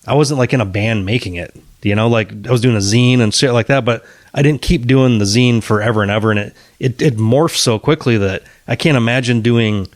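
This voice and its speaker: A man of middling pitch talks on a podcast in a dry, close sound. He picks his way through sentences, restarting often and dropping the odd casual curse. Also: a little fast, few pauses, dry recording. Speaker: podcast co-host. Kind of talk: casual chat about music.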